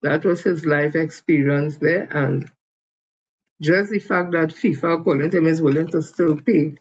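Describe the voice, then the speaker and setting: Gravelly man hosting a live stream. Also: on the high side, lo-fi sound, a little hiss or hum. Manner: loose, halting delivery with fillers. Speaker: host. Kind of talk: casual live-stream commentary.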